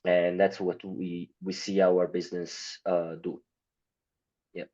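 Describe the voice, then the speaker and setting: Italian guy, deep voice, non-native English, slightly muffled sound; halting, filler-heavy answers. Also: level tone, dark tone. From Italy. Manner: hesitant, many fillers, non-native grammar. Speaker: guest. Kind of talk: casual interview answers.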